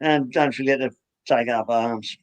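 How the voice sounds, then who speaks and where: A man's voice: medium pitch, steady tone, a little slow. A man, co-hosting a studio talk show with dry, profane remarks and rambling, hesitant asides. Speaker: co-host. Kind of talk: casual profane banter.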